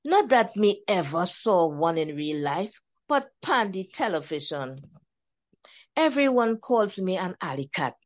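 A woman's voice: lowish voice, very animated, measured pace. A woman voicing a pampered house cat that speaks with a Jamaican accent and tells its tale in a chatty, self-satisfied way, read from her own storybook. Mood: contented.

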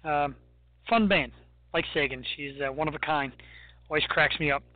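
A man co-hosting a radio talk show, bantering and teasing his co-host in a joking, animated way. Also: fairly high pitch, normal speed, no echo, soft background music. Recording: dry, phone-like narrow sound.

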